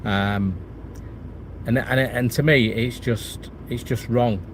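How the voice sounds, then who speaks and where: A man's voice: medium pitch, fairly even intonation, slow pace, slight background noise. A middle-aged man with a cold, chatting casually on a livestream, rambling with lots of restarts and fillers. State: has a cold and chest infection. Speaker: property channel host. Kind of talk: casual livestream chat reading viewer comments.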